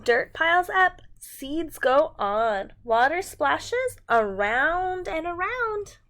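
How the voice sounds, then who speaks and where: A woman's voice: very high voice, sing-song, slow, pushed and bright. A woman reading a picture book aloud to young children in a sing-song manner, speaking to them directly as a teacher would.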